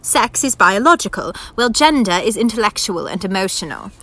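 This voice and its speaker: Formal, measured storyteller played by a woman. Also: mid-range voice, expressive, a bit bright. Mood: formal, reflective. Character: formal, philosophical storyteller of a radio play. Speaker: narrator. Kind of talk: scripted literary narration.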